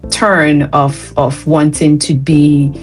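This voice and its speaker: Slow, low-voiced woman host from Ghana. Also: flat delivery, soft background music. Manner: encouraging, chatty, laughs lightly. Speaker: interview host. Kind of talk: conversational interview.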